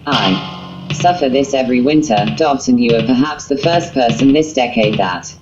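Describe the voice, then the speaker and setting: A woman reading a scripted story as narrator in a flat, literal, synthetic manner. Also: extremely low pitch, moderate pace, highs cut off. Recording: music under the voice. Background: guitar music.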